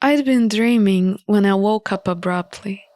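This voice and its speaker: A woman narrating a visual novel in an expressive, measured storybook style. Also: mid-range voice, no room sound, studio quality. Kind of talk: expressive storybook-like reading of game text. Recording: music behind the voice. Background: music.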